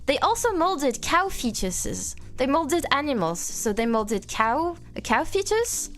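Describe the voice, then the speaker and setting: A young woman from France speaks in English with a clear French accent, her voice sitting high and swooping around lively. She chats casually with a live-stream audience, teasing and reading messages aloud. Soft music plays faintly underneath. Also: measured pace. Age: 20s. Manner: playful, reads chat aloud, frequent laughter.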